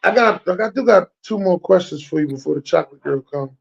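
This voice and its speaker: A man with an American accent and a fairly high voice chats as an interviewer. His delivery is laid-back and slangy, with repeated phrases and plenty of gravel. The audio is dull and lo-fi. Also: expressive, brisk. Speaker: podcast host interviewing a guest. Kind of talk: casual interview chat.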